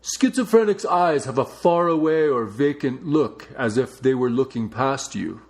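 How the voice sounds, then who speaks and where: A man reading a non-fiction book aloud as a narrator, with measured, deliberate phrasing. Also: mid-range voice, animated, darkish tone. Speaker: audiobook reader.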